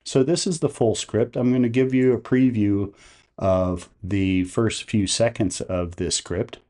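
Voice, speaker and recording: Casting: male tutorial host, lowish mellow voice, step-by-step instruction. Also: unhurried. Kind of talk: step-by-step software tutorial narration.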